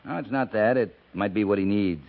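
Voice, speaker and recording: An actor playing a firm, level-headed cavalry captain in a terse, commanding 1950s radio drama style. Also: male voice, on the low side, brisk, close to an everyday voice. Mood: measured. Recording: noisy, narrow-band 1950s radio recording.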